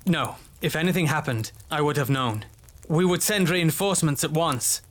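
A man's voice: on the high side, animated, moderate pace, natural voice. A man playing an earnest, self-assured army lieutenant with a formal, ironic turn in a fantasy story. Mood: startled, insistent. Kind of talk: dramatised fantasy story dialogue.

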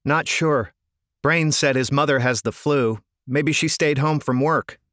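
A man's voice: medium pitch, a little slow, fairly bright tone, dry recording, studio quality, pitched above the performer's own voice, close to an everyday voice. A man voicing a blunt, sardonic teenage gang member in an audiobook. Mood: matter-of-fact. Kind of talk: audiobook character dialogue.

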